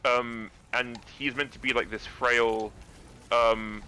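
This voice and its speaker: A man with a naturally deep voice, a guest actor chatting casually about acting, rambling and restarting his sentences. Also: little pitch movement, slow, pushed and bright, a little hiss or hum, low-quality recording.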